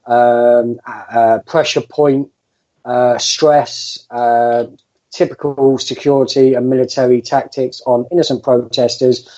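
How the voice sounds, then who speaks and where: A man's voice: mid-range voice, little pitch movement, slow pace, warm tone, muffled recording. A man on a political talk show, speaking hesitantly with many filler sounds between phrases. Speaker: podcast co-host. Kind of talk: casual political discussion.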